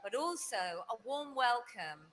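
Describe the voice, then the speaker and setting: A woman with a fairly high voice hosts a live award ceremony in an upbeat, presenter-like way, reading the shortlist aloud. The sound comes from a venue stream with clear background noise. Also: lively intonation, unhurried, fairly bright tone. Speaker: ceremony host. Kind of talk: announcing a prize shortlist. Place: event venue.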